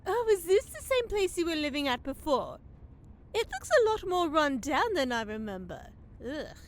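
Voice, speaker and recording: A woman acts as a haughty fairy lady in a fantasy audio comedy. Her voice is high and swoops with snobbish disdain, theatrical and critical. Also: big pitch swings, normal speed, a little hiss or hum. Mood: disdainful, snobbish. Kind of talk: comedy audio drama acting.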